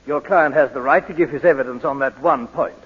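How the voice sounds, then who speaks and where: An actor playing a stern British judge, formal and commanding, in a 1940s radio drama. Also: male voice, mid-range voice, a little fast, slightly rough, lightly acted. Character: stern, authoritative British judge presiding in court. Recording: dull, lo-fi 1940s radio recording.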